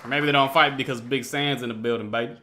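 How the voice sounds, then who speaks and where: A man's voice: medium pitch, fairly even intonation, fast, pushed and bright, a little hiss or hum. A man giving casual, off-the-cuff reaction commentary, slangy and given to repeating himself. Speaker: reaction video host. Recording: music behind the voice. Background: dubbed comic's music playing.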